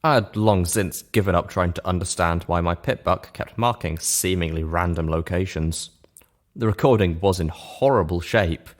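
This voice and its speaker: Story reader: man with a low voice, narrating in first person. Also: moderate pace. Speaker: audiobook-style reader.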